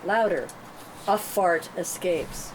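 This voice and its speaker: Female narrator for a script table read, lowish voice, stage directions, clearly noisy room. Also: animated, slow.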